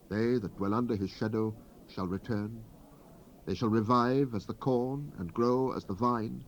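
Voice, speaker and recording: A male actor as a grave Old Testament prophet, oratorical and commanding, declaims scripture in a mid-pitched male voice. It is a 1970s dramatized Bible recording. The sound is a dull cassette rip with faint hiss. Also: natural voice. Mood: solemn, tender. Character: solemn male Old Testament prophet speaking God's wrath and mercy.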